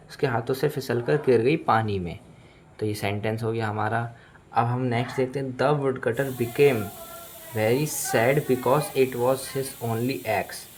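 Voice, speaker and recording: Slow-speaking Indian man teaching, mellow voice. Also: mid-range voice, slight background noise. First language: Hindi. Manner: deliberate, repetitive, explanatory. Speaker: English teacher. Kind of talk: bilingual language lesson, phrase by phrase.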